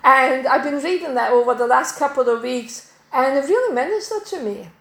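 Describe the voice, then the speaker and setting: A woman's voice: high-pitched, lively intonation, a bit bright. Danish-born woman preaching in an echoey hall. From Denmark. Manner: measured, emphatic preaching with slow stresses. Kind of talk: sermon. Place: church.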